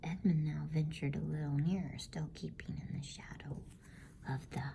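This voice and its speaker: A woman reading a storybook as ASMR narration in a hushed, soothing, unhurried whisper close to the microphone. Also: low-pitched, steady tone, vocal fry, a little hiss or hum. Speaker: bedtime story reader.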